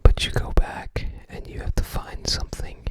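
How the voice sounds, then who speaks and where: An ASMR creator whispering in short, hesitant, rambling phrases, close to the microphone. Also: moderate pace. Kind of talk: whispered ASMR book browsing.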